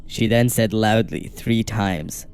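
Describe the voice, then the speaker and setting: Man, scripted horror narration, measured, steady, fairly low voice, soft faint music behind. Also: clean tone.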